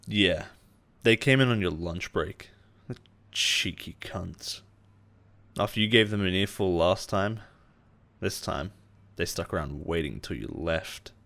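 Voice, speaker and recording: An actor playing a possessive male boss in an intimate roleplay audio drama, coaxing and quietly menacing. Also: sits low, slow pace, vocal fry, long pauses, heavily acted. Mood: coaxing, friendly. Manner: soft-spoken coaxing, chuckles and sighs, quietly menacing.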